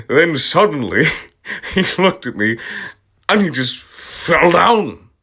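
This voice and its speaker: A man's voice: fairly high pitch, big pitch swings, drawn-out words, sharp tone, slightly rough. A man giving a comedic, dramatic read-aloud of fan fiction with amused asides. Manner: amused, breaks into laughter and mocking asides. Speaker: amateur reader of fan fiction.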